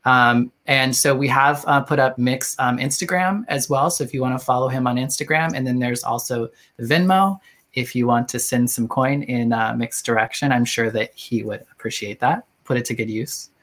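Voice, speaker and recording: A man hosts a casual online interview in a soft-spoken way, often pausing on ums and uhs. He sounds like he is speaking into a video call, with his voice at a mid pitch for a man. His manner is easygoing and unhurried. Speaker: host.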